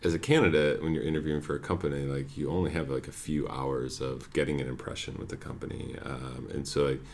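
A man, a talent acquisition director, giving relaxed, casual interview answers full of "like" and "you know". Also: a bass voice, quick pace, creaky, slight background noise.

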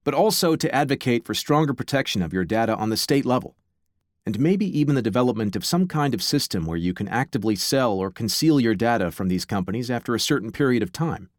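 A man's voice: mid-range voice, lively intonation, fast, no echo, clean studio sound. A man reading aloud from his own book on a podcast, with wry conversational asides.

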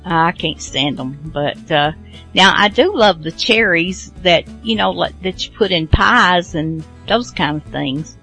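A woman's voice: on the low side, animated, a little slow, sharp tone, faint music behind. A woman hosting a radio show, chatting casually in a rambling, self-deprecating way as she introduces programs.

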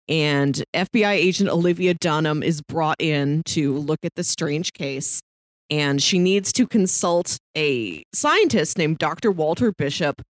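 A woman's voice: lowish voice, dry recording. A woman co-hosting a podcast, talking casually in a conversational review and recap style. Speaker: podcast co-host.

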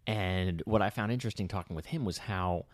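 Deep-voiced man musing thoughtfully. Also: brisk, no room sound, clean studio sound. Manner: reflective, restarts sentences while thinking aloud. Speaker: podcast co-host and public speaker. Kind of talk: casual conversational chat.

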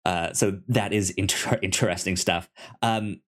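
A man co-hosting a podcast in casual chat about comics, speaking haltingly with stammered, repeated syllables and words. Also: low-pitched, fairly even intonation, a bit bright. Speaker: podcast co-host.

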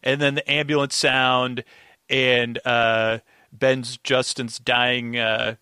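A man's voice: medium pitch, little pitch movement, slow pace, pushed and bright, clear, resonant tone, dry recording, clean studio sound. A man guesting on a recap podcast, chatting casually and enthusiastically in rambling, half-finished thoughts. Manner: rambling, enthusiastic, quotes his own reactions.

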